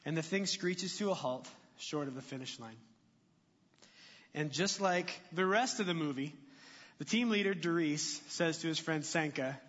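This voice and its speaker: High-voiced pastor in a reverberant hall. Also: male voice, normal speed, a bit bright. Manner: conversational, jokey, asks the congregation questions. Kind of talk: sermon. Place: church sanctuary. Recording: muffled reverberant sanctuary recording.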